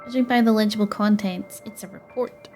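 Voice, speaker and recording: Expressive young woman gamer, mid-pitched. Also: brisk, soft background music. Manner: expressive, casual reactions. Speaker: gaming streamer. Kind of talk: playthrough commentary, reading game text aloud.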